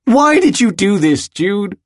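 A male narrator plays an anguished, furious man in an audiobook scene. His voice climbs well above an everyday pitch, rough-edged, with forceful demands and urgent questions. The sound is close and dry. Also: sing-song, slow pace, no room sound, exaggerated voice. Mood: furious, desperate. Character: anguished, furious man confronting someone he loves. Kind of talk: audiobook character dialogue.

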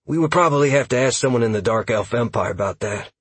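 A man's voice: mid-range voice, animated, fast, breathy edge, dry recording. A man voicing a nervous, eager male elf scout with a hesitant, apologetic manner, as in an audiobook. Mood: eager, explanatory. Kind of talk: audiobook character dialogue.

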